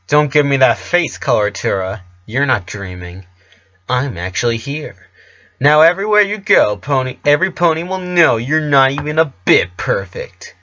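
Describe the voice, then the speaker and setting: A man's voice: mid-range voice, animated, normal speed, bright, forceful tone, lo-fi sound. A man voicing a domineering, taunting female pony villain, a diva alter ego who goads and harangues in an audio story. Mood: taunting, scornful.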